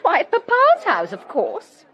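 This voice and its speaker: Sly, high-voiced society lady. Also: wildly swooping pitch, unhurried, a bit bright, muffled recording, some chatter behind, exaggerated voice. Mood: grandly pleading. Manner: mock-pious, silky, grandly pleading. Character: sly, flirtatious, theatrical society lady, languid and provoking. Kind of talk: period radio drama acting.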